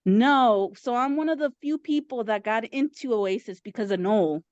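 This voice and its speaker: A woman's voice: a little high, normal speed, darkish tone, no room sound. Chatty Mexican-born woman on a muffled video call. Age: 30s. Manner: eager, chatty, many fillers. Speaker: caller. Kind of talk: casual chat.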